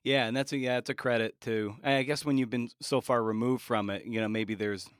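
A man's voice: medium pitch, steady tone, brisk, clean tone, no echo, studio quality. A man hosting a podcast interview in a casual, conversational style.

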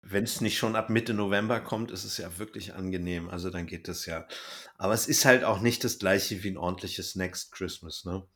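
A man's voice: low voice, moderate pace, a bit bright. A man hosting a podcast, chatting informally and a little ramblingly. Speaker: podcast host. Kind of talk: casual chat, podcast sign-off.

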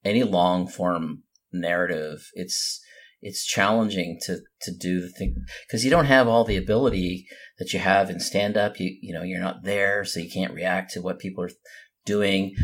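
A man talking casually on a podcast, feeling out his thoughts in tentative, halting phrases. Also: sits low. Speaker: podcast co-host.

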